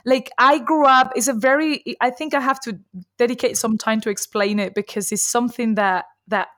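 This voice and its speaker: A woman's voice: on the high side, fairly even intonation, moderate pace, fairly bright tone, dry recording, studio quality. A woman in her forties from Colombia, a native Spanish speaker with a mixed Colombian and Spanish-tinged accent, chatting as a podcast guest and laughing often. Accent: mixed Colombian and Spanish-influenced English. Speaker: guest, nutritional therapist. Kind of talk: casual podcast conversation.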